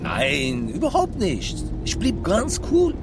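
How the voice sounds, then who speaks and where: A chatty Turkish cabbie, loyal and easily aggrieved. His voice is fairly high and lively, full of emphatic indignation, in a radio play set in a car with some traffic noise. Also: takes it slowly, exaggerated voice. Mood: indignant. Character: excitable, aggrieved Turkish taxi driver and loyal friend. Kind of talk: radio drama dialogue. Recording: noisy car interior with traffic.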